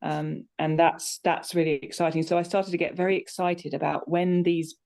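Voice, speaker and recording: A woman's voice: fairly low pitch, level tone, normal speed, creaky, darkish tone. A woman chatting reflectively on a live online call, hesitant and repeating words as she thinks. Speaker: co-creator speaking on a live audience call. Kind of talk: casual reflective conversation.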